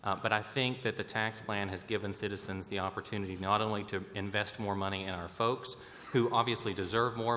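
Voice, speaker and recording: American man, fairly low voice, crisp bright edge, formal halting remarks, roundtable speaker. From West Virginia, United States. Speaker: community bank president. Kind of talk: prepared remarks at a public roundtable. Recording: echoey hall, noticeable background noise.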